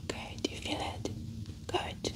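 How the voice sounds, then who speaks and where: A woman doing a soft-spoken ASMR medical roleplay as a doctor, often whispering, with gentle, reassuring step-by-step instructions. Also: unhurried. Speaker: ASMR performer playing a doctor.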